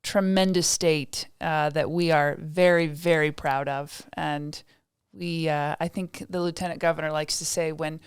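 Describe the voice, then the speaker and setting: A woman's voice: low voice, steady tone, a little slow, smooth, dry recording, studio quality. A woman from Indiana, a state development director, chatting as a guest on a podcast, with lots of fillers and restarts. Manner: chatty, many fillers and restarts, self-deprecating laughs. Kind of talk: casual interview talk.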